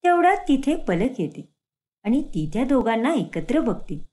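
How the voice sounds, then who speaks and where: Lively female cartoon narrator. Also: on the high side, normal speed. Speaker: storyteller.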